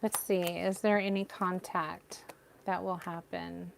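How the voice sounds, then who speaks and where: A woman giving a live tarot reading with a soothing, gentle voice, talking straight to her viewers. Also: fairly low pitch, little pitch movement, slow, creaky, slight background noise. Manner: gentle, reassuring, addresses viewers by name. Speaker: tarot reader.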